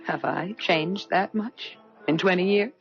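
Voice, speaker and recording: An actress playing a wealthy, flashy middle-aged socialite who gushes, in a 1970s radio drama. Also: female voice, lowish voice, unhurried, creaky. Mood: surprised, gushing. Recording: muffled vintage radio recording with music.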